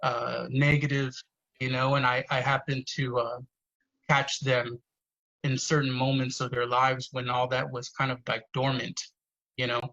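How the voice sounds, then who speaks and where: A man's voice: mid-range voice, little pitch movement, measured pace, bright, forceful tone. Earnest swearing man, dull lo-fi sound. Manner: earnest, swearing, storytelling with many "you know"s. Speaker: poet guest. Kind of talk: casual podcast conversation.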